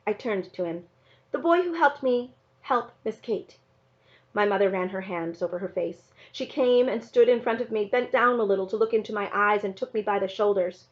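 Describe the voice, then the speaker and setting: A woman reading a children's novel aloud in an expressive narrating style. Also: mid-range voice, a little fast, few pauses. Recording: slightly dull, lo-fi sound.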